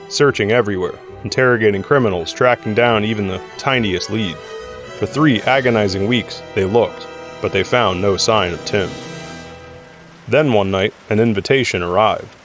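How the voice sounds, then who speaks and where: A man narrating an audio drama from a script, telling the story in the third person. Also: fairly low pitch.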